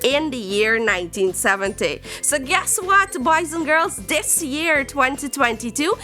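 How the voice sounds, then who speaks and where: Woman, Guyanese accent, children's storyteller, slow dramatic emphasis, highly expressive melody. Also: faint music behind. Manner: theatrical, exclamatory, drawn-out emphasis.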